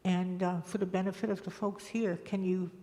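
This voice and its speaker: Audience member, gravelly, flat, sincere, church reverb. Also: medium pitch, moderate pace. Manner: sincere, moved. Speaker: audience member asking a question. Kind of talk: audience question. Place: church hall. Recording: long church hall reverb.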